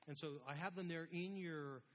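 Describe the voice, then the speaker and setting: A man's voice: on the high side, normal speed. A man preaching an informal, conversational sermon as a pastor, with a casual, self-deprecating manner.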